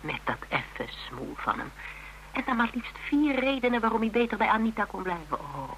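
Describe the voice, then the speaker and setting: A man's voice: sits high, very animated, normal speed, pushed and bright, exaggerated voice. A man acting a shaken but wry survivor of a killer, colloquial and downplaying danger, in a radio crime drama. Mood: rueful. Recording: noisy radio drama recording.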